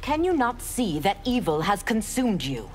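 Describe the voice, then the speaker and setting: A woman's voice: mid-range voice, sing-song, normal speed, natural voice. A woman voicing an earnest, righteous demon hunter in a video game, stern and formal. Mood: stern reproach. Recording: music playing behind.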